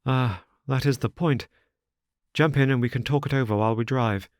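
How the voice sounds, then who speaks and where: A man doing an anxious, careworn young gentleman. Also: mid-range voice, normal speed, dry recording, clean studio sound, voice pushed up, close to an everyday voice. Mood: distressed. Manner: urgent, distressed pleading.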